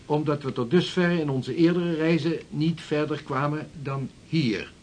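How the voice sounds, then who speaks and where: An earnest, practical mapmaker of the age of sail, explains emphatically in a radio play. His voice is husky and a touch high. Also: unhurried, a little mellow. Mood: earnest, persuasive. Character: earnest, practical seafaring mapmaker and expedition leader. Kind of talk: historical radio drama acting.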